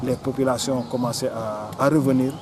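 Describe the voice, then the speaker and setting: A man with a Senegalese accent giving an interview answer as a local organiser, speaking haltingly and repeating words as he searches for phrasing. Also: medium pitch, steady tone, music in the background. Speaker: local official or forum organiser.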